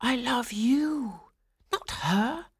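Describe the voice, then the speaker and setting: A woman's voice: fairly low pitch, very animated, normal speed. Woman voicing a pleading, earnest young sweetheart lad. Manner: puzzled, protesting, earnest.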